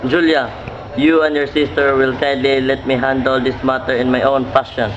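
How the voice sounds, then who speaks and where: A man playing formal, middle-class patriarchs and academics in an amateur radio play, with measured wording. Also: medium pitch, flat delivery, natural voice. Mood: stern, formal. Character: stern, formal father and kindly chemistry professor. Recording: muffled, clearly noisy amateur recording.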